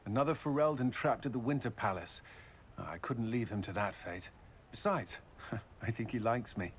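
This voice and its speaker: An actor playing a stern but dryly warm, honorable soldier in a video game, speaking with earnest, measured authority. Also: male voice, mid-range voice, slight background noise, natural voice. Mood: dry, fond. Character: stern, honorable soldier man with dry warmth. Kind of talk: video game dialogue acting.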